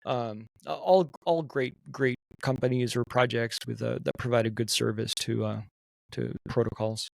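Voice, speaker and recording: Gravelly podcast host man. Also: mid-range voice, fairly even intonation, dry recording. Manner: halting, restarts phrases. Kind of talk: casual podcast interview.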